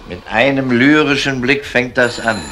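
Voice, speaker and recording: A man's voice: mid-range voice, moderate pace, close to an everyday voice. An actor playing a wry, teasing male police colleague in a German radio crime drama. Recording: faintly noisy old radio play recording.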